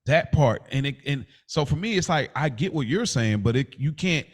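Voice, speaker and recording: A man's voice: on the high side, animated, moderate pace. A man co-hosting a podcast in casual debate, explaining himself and restating his point to clarify it. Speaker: podcast co-host.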